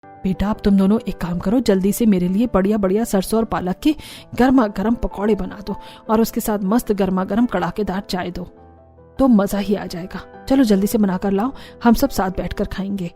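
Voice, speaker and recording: A woman's voice: medium pitch, moderate pace, dark tone, faint music behind, lightly acted. A woman voicing a bossy older mother-in-law who relishes her food, in a cartoon story, speaking in commands.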